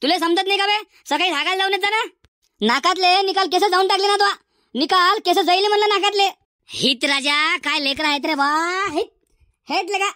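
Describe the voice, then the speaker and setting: Man doing a shrill, scolding Varhadi schoolmaster. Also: a little fast, bright, forceful tone, no echo, studio quality. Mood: exasperated. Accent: Varhadi Marathi. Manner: hectoring, repetitive, threatening. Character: exasperated, scolding male village schoolteacher. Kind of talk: cartoon comedy dialogue.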